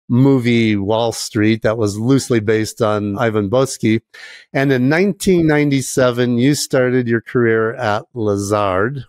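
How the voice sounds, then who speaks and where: A man hosting a podcast interview, asking measured, prepared questions. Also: lowish voice, no echo. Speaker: podcast host.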